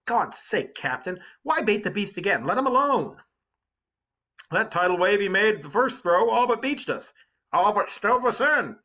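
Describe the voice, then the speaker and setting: A man's voice: sits high, sing-song, measured pace, sharp tone, voice pushed up, full character voice. A man voicing a frightened, exasperated sailor in an epic storybook reading, pleading urgently with his captain in a strained voice. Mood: exasperated, alarmed.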